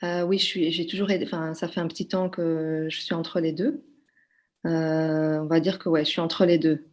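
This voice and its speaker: A woman's voice: low-pitched, level tone, normal speed, a little mellow. A woman from Belgium, a podcast guest, talking in a hesitant conversational way with many fillers and restarts. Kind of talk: conversational interview.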